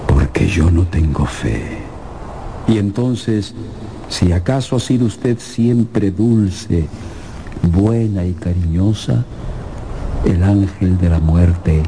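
A slow, sorrowful old ghost. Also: male voice, low voice, background noise, full character voice. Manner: slow, solemn, grave delivery. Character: old sorrowful ghost longing for death, solemn, pleading. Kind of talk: radio drama acting.

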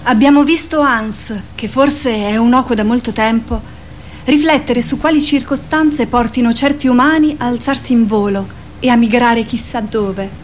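A woman's voice: a little high, moderate pace, a little hiss or hum. A woman delivering theatrical scripted narration in a radio play.

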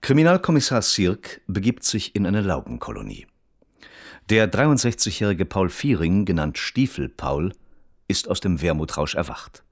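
An actor as the sober, detached narrator of a German radio crime play, reading like an official report in standard German. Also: male voice, low-pitched, very animated, normal speed, dry recording, clean studio sound, exaggerated voice.

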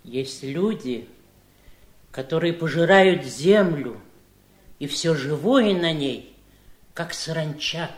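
An actress in a radio drama playing a calm, wise, plain-spoken household woman with a reflective, deliberate manner. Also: low voice, reverberant. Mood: philosophical. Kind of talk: Soviet radio drama acting.

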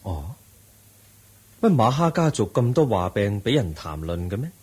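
The serene, wise Buddha. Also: male voice, fairly low pitch, big pitch swings, measured pace, husky, exaggerated voice. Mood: serene, patient. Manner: gentle, patient, questioning like a teacher. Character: serene wise Buddha, calm male teacher. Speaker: Buddha in a radio play. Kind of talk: 1980s-style radio drama acting.

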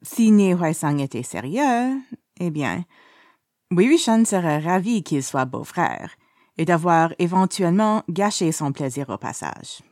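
A woman reads fiction in a narrator's style. Her voice sits a little low and moves around in a lively way. The sound is dry, with hardly any room on it. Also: unhurried, dry recording. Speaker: story reader.

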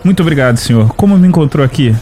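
Man acting high-voiced earnest young adventurer. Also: expressive, very fast, dark tone, a little hiss or hum, lightly acted. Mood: surprised, confused. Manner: earnest, heroic, declamatory. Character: brave, bewildered young male adventurer. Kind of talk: audiodrama acting.

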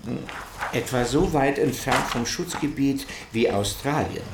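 An actor playing a polite, fussy colonial creditor in a radio drama, courteous, precise and given to hemming. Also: male voice, mid-range voice, expressive, unhurried. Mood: helpful, fussy. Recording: echoey room, clear noise, clicks.

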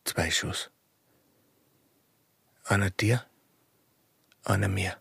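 An actor playing a tormented, infatuated border guard in a radio drama, with an Austrian accent, a breathy, husky voice and a brooding, halting delivery. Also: male voice, deep voice, steady tone, fairly bright tone, dry recording, exaggerated voice. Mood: tormented, resigned. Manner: brooding, halting, swinging from murmur to outburst. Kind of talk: German radio drama acting, intimate.

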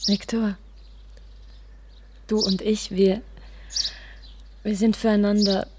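An actress playing a tender, self-sacrificing young woman in love in a radio drama, earnest and halting. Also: mid-range voice, flat delivery, noisy background, close to an everyday voice. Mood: tender, wistful. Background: birdsong and music.

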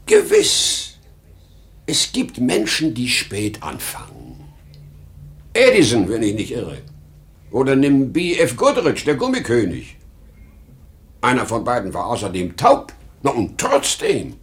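A man's voice: mid-range voice, wildly swooping pitch, a little slow, long pauses. An actor playing a weary, rambling old traveling salesman in a 1950s German radio drama, swinging between outbursts and murmurs. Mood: agitated, proud. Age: older.

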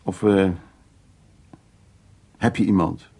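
A suave, lonely man of the world in a naturalistic radio play. His voice is gravelly, very hoarse-edged and dark. He muses and teases as he presses his courtship. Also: deep voice, steady tone, slow pace, heavily acted. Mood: teasing, persuasive. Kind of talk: naturalistic Dutch radio drama acting.

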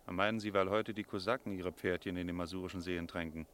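Deep-voiced, haunted mystical stranger. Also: male voice, fairly even intonation, fast talker, a bit bright, a little hiss or hum. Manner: solemn, poetic, haunted. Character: eerie, mystical wandering stranger from Masuria. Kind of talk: German radio drama acting.

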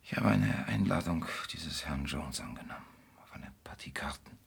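Radio drama lover: very deep, creaky, half-whispered, protective and urgent. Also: male voice, animated, moderate pace, heavily acted. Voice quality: hushed, breathy, gravelly. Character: anguished, protective man urgently instructing his beloved. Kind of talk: intimate radio drama acting.

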